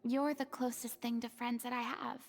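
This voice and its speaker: A woman voicing a remorseful young woman in a video game, speaking softly and wistfully, often half-whispered. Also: fairly high pitch, level tone, moderate pace, creaky, noisy background. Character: remorseful young woman, former goddess pretender.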